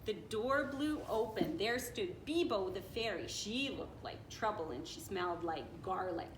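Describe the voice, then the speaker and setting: A woman reading a children's story aloud in an expressive, playful way, with casual asides. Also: mid-range voice, measured pace. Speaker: storybook reader. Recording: echoey room with faint noise.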